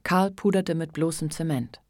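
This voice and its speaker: A man narrating a radio play in a measured, literary, scripted style. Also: a little high, dry recording, studio quality.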